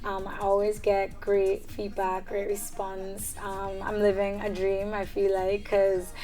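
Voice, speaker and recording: Young woman, casual interviewee, mid-range voice, relaxed filler-heavy delivery. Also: medium pitch, a little slow, faint music behind. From Trinidad and Tobago. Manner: chatty, frequent "um" fillers and soft laughs. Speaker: singer-songwriter guest.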